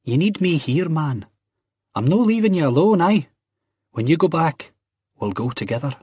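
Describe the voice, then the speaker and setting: A man's voice: mid-range voice, sing-song, normal speed, husky, dry recording, studio quality, pitched above the performer's own voice. A man voicing an earnest, cheeky young Scottish man in an audiobook, blunt and insistent. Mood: insistent, loyal.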